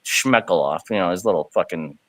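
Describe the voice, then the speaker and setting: A man's voice: deep voice, brisk, crowd noise. A man, ranting animatedly and swearing in a casual group voice chat. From Canada. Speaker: voice chat participant.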